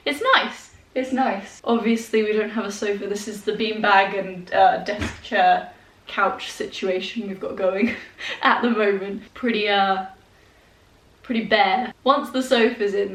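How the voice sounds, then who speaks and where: A woman's voice: mid-range voice, distant mic. Congested young woman chatting in a roomy echo. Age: 20s. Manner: chatty, rambling, with fillers and small laughs. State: congested with a head cold. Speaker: vlogger. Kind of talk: casual chat to camera. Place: sparsely furnished room at home, indoors. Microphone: handheld compact camera. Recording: roomy echo, voice a bit off-mic.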